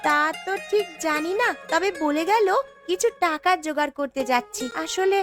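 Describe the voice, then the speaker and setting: A woman's voice: very high-pitched, normal speed, music in the background, voice pushed up. A woman voicing a poor, sweet young granddaughter in a fairy tale cartoon, with a girlish, plaintive manner. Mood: worried, caring.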